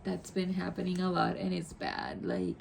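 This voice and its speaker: A woman's voice: fairly low pitch, wildly swooping pitch, moderate pace, vocal fry, a little hiss or hum. A young woman from Puerto Rico, a native Spanish speaker, chatting casually to camera in a rambling way with many asides and self-corrections. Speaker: vlogger.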